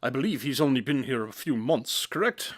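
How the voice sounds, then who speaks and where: A man playing a cerebral, dry-witted consulting detective in an audio drama, speaking formally and deliberately with self-assurance. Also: medium pitch, expressive, close to an everyday voice. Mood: wry. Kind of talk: modern audio drama acting.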